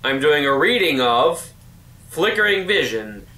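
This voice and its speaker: Male reading-show host: sharp, lively intonation, scripted welcome delivery. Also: fairly high pitch, a little slow. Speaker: fan fiction reading host.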